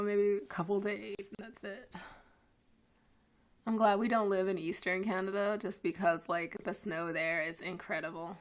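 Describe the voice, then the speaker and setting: A young woman whose first language is French, chatting casually on a live stream from her living room, rambling with lots of fillers. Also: mid-range voice. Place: living room at home, indoors. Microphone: headset with webcam.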